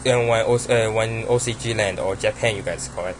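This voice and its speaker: A man's voice: on the low side, fairly even intonation, brisk, background noise. A young man with a Chinese accent, talking casually and hesitantly about his card game deck, with many ums and restarts. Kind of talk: casual deck profile monologue.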